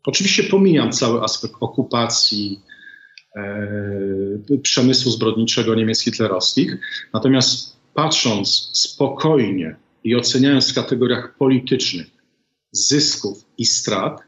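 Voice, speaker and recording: Middle-aged guy on a video call, slow careful analysis, lots of uhs, roomy dull sound. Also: medium pitch, animated, fairly bright tone. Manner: deliberate, many hesitations. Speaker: guest, former ambassador. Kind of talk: measured political analysis.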